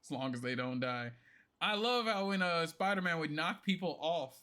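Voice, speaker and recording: A man's voice: a little high, moderate pace, bright, forceful tone, clean tone. A man giving casual live stream commentary on a game, joking and swearing as he rambles through his opinions.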